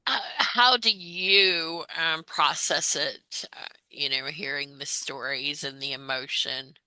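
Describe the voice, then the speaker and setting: A woman's voice: sits low, slow, sharp tone, no room sound, rough recording. A woman interviewer asking conversational questions with careful, hesitant phrasing.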